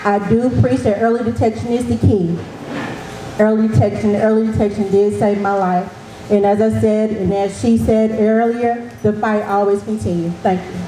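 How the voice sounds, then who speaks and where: A woman's voice: mid-range voice, steady tone, normal speed. A woman earnestly shares her story and urges the audience, repeating her key points. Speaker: cancer survivor speaking at an event. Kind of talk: personal testimony and advice to an audience. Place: event hall. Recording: echoey hall with faint noise.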